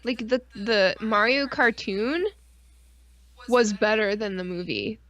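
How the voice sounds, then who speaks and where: A woman with an average-pitched voice chats as a co-host. Her style is casual and crude, and she speaks bluntly and irreverently with plenty of swearing. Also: slow, fairly bright tone. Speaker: co-host of a weekly pop culture stream. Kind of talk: casual chat, crude joking banter.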